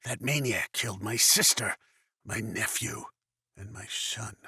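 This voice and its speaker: An extremely raspy, hard-edged male voice. He is a bitter, angry crime lord in a comic-book drama, low and close on a dry recording. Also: a little fast, sharp tone, no room sound, full character voice. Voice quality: raspy, gravelly.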